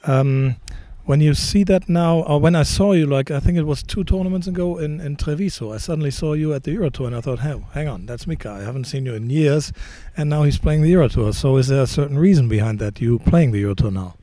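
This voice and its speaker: A middle-aged man interviewing a player, friendly and halting, with many ums. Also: on the high side, mellow, dry recording. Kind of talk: casual interview questions.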